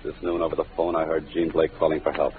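An actor in a 1940s radio drama playing a confident, wisecracking detective with a dry, clipped delivery. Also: male voice, a bass voice, flat delivery, fast, a little hiss or hum. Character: hard-boiled wisecracking male detective. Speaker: lead of a radio mystery. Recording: tinny old radio broadcast with music.

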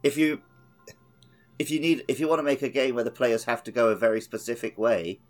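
A man's voice: medium pitch, fairly even intonation, a little fast. A man chatting casually as host of a gaming podcast, with a blunt, opinionated and sweary manner. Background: background music.

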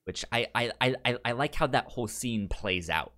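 A man co-hosting a casual film discussion, speaking haltingly and often repeating syllables and words. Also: medium pitch, animated, bright, forceful tone. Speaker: podcast co-host.

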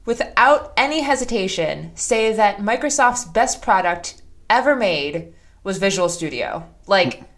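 A woman's voice: medium pitch, expressive, unhurried, fairly bright tone. A woman co-hosting a podcast in casual chat, talking with emphatic enthusiasm. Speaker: podcast co-host.

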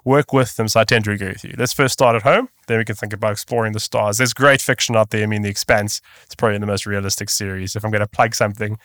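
Fast-talking male podcast host. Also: lowish voice, steady tone, a bit bright, no echo, studio quality. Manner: chatty, quick, digressive. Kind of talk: interview host, casual chat.

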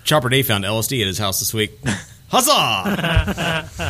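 A man's voice: medium pitch, sing-song, a little slow, sharp tone. A man co-hosting a comedy podcast, bantering casually in a mock-earnest, repetitive riffing way. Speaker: podcast co-host.

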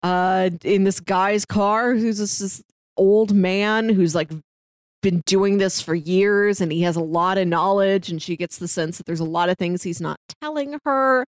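A woman podcast co-host with a mid-range voice, recorded close and dry. She talks casually and retells stories in an animated way, slipping into little imagined quotes as she goes. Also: mid-range voice, measured pace, clean tone, no echo. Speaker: podcast co-host giving recommendations. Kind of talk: casual chat about films, books and audio dramas.

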